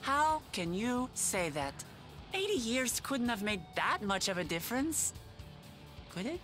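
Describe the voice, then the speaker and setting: A woman's voice: on the high side, very animated, measured pace, a bit bright, soft background music. A woman playing a brash, earnest young warrior princess in a video game, bold and exuberant. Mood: proud, wistful. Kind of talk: dramatic video game acting.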